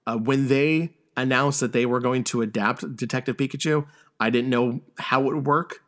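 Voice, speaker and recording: A man hosting a casual film discussion podcast, who speaks haltingly and often repeats words as he goes. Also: mid-range voice, fairly even intonation. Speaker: podcast host.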